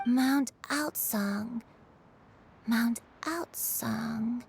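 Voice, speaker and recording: An actress playing a frail, forgetful old woman in a video game, speaking politely with halting, sorrowful wistfulness. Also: fairly high pitch, a little hiss or hum. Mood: apologetic, wistful. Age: older.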